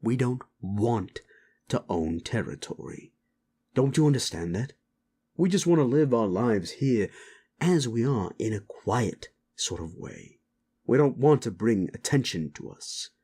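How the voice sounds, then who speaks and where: A man playing a stern, weary keeper of an underworld club in an audio drama, measured, scolding and authoritative. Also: medium pitch, sing-song, dark tone, husky, full character voice. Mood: weary, firm. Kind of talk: dramatic audio roleplay acting.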